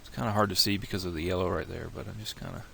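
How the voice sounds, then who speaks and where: A very low, gravelly male voice, a little nasal from a cold, talking through each step of a software tutorial. He rambles and restarts as he thinks aloud. A faint hiss of noise sits under the desktop mic. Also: fairly even intonation, rapid-fire. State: has a cold, slightly nasal. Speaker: tutorial creator.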